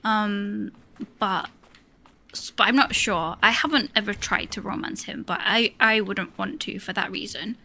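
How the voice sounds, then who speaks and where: A shy woman with a high voice chatting hesitantly while streaming a game, full of fillers and little laughs. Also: a little slow, vocal fry, sharp tone. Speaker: live streamer. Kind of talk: casual chat while playing a game.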